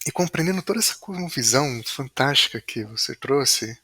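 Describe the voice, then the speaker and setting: Male host, bright husky voice, eager rambling questions, dry. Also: mid-range voice, expressive, moderate pace, no echo. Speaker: podcast host. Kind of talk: interview questions.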